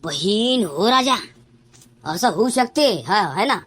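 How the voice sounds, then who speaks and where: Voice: medium pitch, expressive, slow, a bit bright. A performer voicing a scheming cartoon village man who reasons persuasively in a rural Varhadi Marathi accent. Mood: planning. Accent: rural Maharashtra, Varhadi Marathi. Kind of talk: comic cartoon dialogue.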